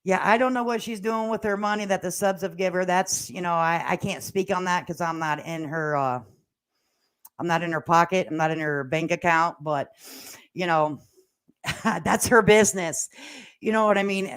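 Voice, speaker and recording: A woman's voice: fairly low pitch, moderate pace, clear, resonant tone. Folksy, sassy older woman chatting casually. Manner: folksy, sassy, plain-spoken, slangy. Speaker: livestream host. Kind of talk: casual livestream commentary chat, reading viewer comments aloud. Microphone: standalone microphone at a laptop.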